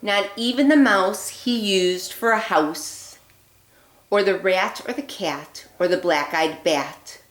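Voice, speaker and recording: Lady teacher doing storytime for little kids, lowish voice, takes it slow, roomy echo. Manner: clear, deliberate, expressive phrasing with emphasis on rhymes. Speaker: teacher reading a picture book aloud. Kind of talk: read-aloud storytelling for young children. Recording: echoey room.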